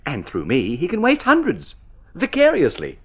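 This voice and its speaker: An actor playing a flippant, good-natured young wastrel with a breezy, bantering manner, in old radio drama style. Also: male voice, a little high, huge pitch swings, moderate pace, vocal fry, slightly rough, highs cut off, exaggerated voice. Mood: teasing. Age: young adult. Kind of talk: vintage Australian radio drama acting.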